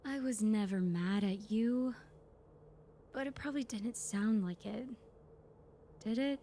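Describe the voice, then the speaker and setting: A woman's voice: on the high side, takes it slowly, mellow, slight background noise. A woman acting a weary, bookish, dryly sardonic soldier-scholar in a sci-fi audio drama, with natural, hesitant conversational delivery. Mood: awkward, softening.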